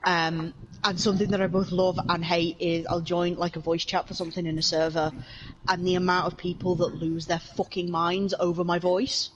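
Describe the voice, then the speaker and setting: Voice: medium pitch, normal speed, muffled recording, a crowd in the background. A person from Wales with an English accent, telling stories in a casual online chat and swearing freely. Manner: animated storyteller, swears freely.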